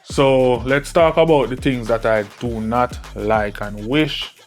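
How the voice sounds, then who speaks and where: A man reviews gear in a casual, conversational way at an ordinary middle pitch. He often tags his points with a quick check-in question. Clear music plays behind his voice. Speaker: product reviewer. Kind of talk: casual product review to camera.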